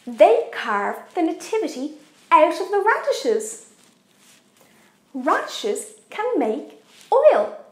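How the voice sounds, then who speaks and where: A young woman hosting a kids' cooking show, presenting facts in an animated, deliberate way. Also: high voice. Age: young adult. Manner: animated, expressive, deliberate for kids. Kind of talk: children's educational presenting. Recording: echoey room.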